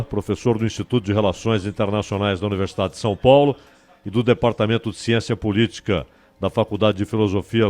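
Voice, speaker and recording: A man's voice: on the low side, darkish tone. A man with a Brazilian accent, speaking as a radio host conducting an interview.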